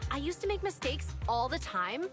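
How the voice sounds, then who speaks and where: Video game role: cheerful, grateful young co-worker, extremely high-pitched, earnest, music behind. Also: female voice, big pitch swings, moderate pace. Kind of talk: scripted video game dialogue.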